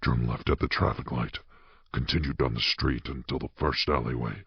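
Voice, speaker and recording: A man voicing a mysterious, commanding voice in an audio drama, giving terse, flat, clipped instructions with a very deep, gravelly sound. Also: normal speed, no room sound, heavily acted. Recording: dull, muffled, dry sound.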